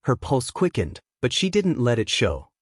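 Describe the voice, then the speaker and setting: A man's voice: mid-range voice, huge pitch swings, normal speed, no echo, clean studio sound. A man reading a dramatic fiction story as a scripted audiobook narrator.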